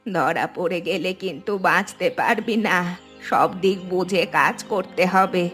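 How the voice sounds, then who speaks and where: A woman voicing a frail, cautious old grandmother who speaks slowly in a lowered voice. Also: fairly bright tone, soft background music. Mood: worried. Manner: slow, cautious, low voice.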